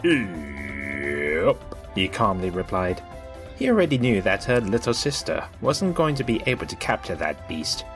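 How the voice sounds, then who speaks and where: A man narrating a scripted story in an expressive, theatrical way. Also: lowish voice, moderate pace, over music.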